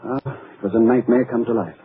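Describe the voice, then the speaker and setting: Male actor for earnest captive doctor, grim and steady, mid-range voice, old radio drama sound. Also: mid-range voice, fairly quick, lightly acted. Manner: earnest, grave, explanatory. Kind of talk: old-time radio thriller acting. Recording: narrow vintage radio recording, faint noise.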